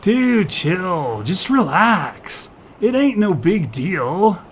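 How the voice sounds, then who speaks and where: A man playing an easygoing alien surfer with a stoner teen voice, drawing out his excitable surfer slang. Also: sits high, very slow, slight background noise, pitched above the performer's own voice, exaggerated voice. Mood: soothing, mellow.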